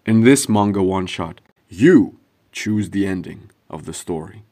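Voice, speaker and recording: A man's voice: sits low, lively intonation, measured pace, dark tone. A man narrating scripted instructions directly to viewers as a video narrator.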